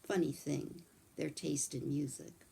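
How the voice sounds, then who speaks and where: A woman's voice: sits low, a little slow, gravelly, slightly rough, exaggerated voice. A woman reading as a kindly old country doctor. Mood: easygoing. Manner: calm, chatty storybook read-aloud.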